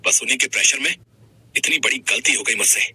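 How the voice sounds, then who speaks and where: A man's voice: medium pitch, quick pace, pushed and bright, breathy edge, no echo, full character voice. A man voicing an arrogant, callous young husband and businessman, curt, scolding and dismissive in manner. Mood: angry, scornful.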